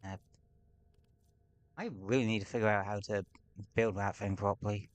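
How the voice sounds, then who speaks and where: A man's voice: on the low side, steady tone, normal speed, no room sound. A man streaming a game, talking casually in rambling, sweary asides at home. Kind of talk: casual gameplay commentary. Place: home room with window open.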